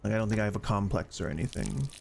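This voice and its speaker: A man's voice: on the low side, very fast, gravelly, a little mellow, slight background noise. A man in his forties chatting casually and rambling while playing a game, joking about getting old. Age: 40s. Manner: rambling, self-deprecating, chatty. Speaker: gaming streamer. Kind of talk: casual gameplay chat.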